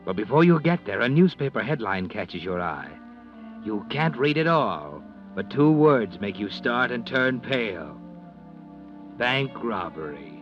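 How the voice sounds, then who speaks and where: Music runs behind muffled vintage radio sound. a sardonic American mystery narrator in a low voice. He is deliberate and mocking, speaking as an ominous all-knowing storyteller. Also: sing-song. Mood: ominous, suspenseful. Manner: mocking, deliberate, addresses characters as "you". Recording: dull lo-fi vintage radio recording.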